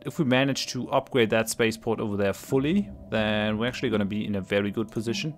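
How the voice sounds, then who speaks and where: Low-voiced man with a cold. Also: steady tone, quick pace, a little hiss or hum. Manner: thinks aloud with frequent ums and restarts; laughs readily between remarks. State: has a cold. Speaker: let's play host. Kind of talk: casual gameplay commentary.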